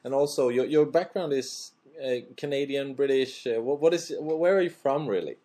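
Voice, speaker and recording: A man interviewing a guest, asking questions haltingly and restarting often. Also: medium pitch, mellow.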